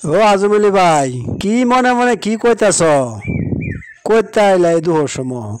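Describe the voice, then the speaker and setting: A man voicing a nosy, advice-giving village neighbour in a cartoon, loud and forthright, with a rural Bangladeshi accent. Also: sits high, very animated, unhurried, noisy background. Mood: inquisitive. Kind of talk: colloquial cartoon dialogue.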